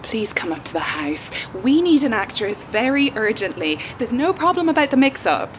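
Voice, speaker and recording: A woman's voice: on the high side, very animated, moderate pace. A woman playing an urgent, polite employee pleading formally over a gate intercom in an audio horror drama. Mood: urgent, insistent. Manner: formal, insistent. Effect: intercom filter. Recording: muffled, noisy intercom speaker.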